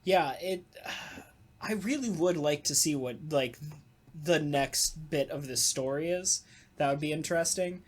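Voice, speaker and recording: A podcast co-host in casual conversation, with a mid-range voice and a slow pace. The talk rambles loosely and carries a wry sense of humor. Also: medium pitch. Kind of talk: casual chat about a radio play.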